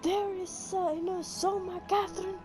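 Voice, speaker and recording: A woman commenting casually on her own gameplay in a halting, rambling way. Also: very high voice, flat delivery. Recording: loud game music over voice.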